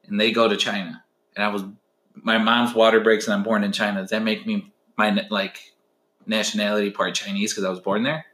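A young man from the United States in casual chat as a podcast co-host, curious and questioning, quick to laugh. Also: lowish voice, flat delivery, a bit bright. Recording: roomy echo.

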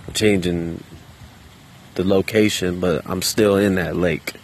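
A man talking to himself in a park in a quiet, subdued, hesitant way, with many restarts and casual swearing. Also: sits low, steady tone, slow pace, slight background noise. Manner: loose and conversational with casual swearing. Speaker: vlogger. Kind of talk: casual solo monologue. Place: outdoors in a park.

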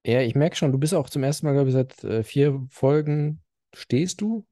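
A man's voice: mid-range voice, moderate pace, warm tone, dry recording, studio quality. A man co-hosting a casual podcast, rambling and full of fillers as he tells stories. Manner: rambling, filler-heavy storytelling. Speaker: podcast co-host. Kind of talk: casual chat.

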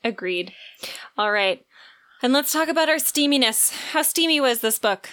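A woman's voice: sits high, lively intonation, moderate pace, sharp tone. A woman co-hosting a book podcast, talking casually with emphatic enthusiasm. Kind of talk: casual book discussion chat.

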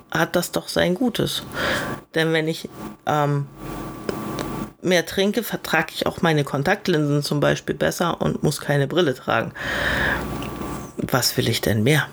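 Solo podcast host, gravelly and unhurried, unscripted and reflective, recorded with noticeable background noise. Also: mid-range voice. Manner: rambling, reflective, thinking aloud.

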